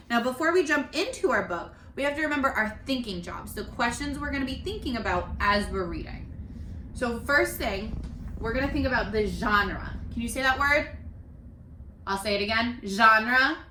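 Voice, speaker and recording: A woman teacher leading an interactive read-aloud lesson for young children, animated and encouraging, often pausing to ask questions. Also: a little high, slight background noise. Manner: animated, encouraging, asks questions and pauses for answers. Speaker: primary school teacher. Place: living room at home. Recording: roomy home echo.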